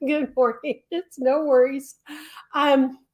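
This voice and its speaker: A high-pitched woman in middle age chats casually on a livestream, her voice fraying as she loses it. She rambles warmly with frequent ums and restarted sentences. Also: little pitch movement, slow, dull audio. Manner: chatty, many ums and restarts. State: losing her voice. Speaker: crochet designer hosting a livestream.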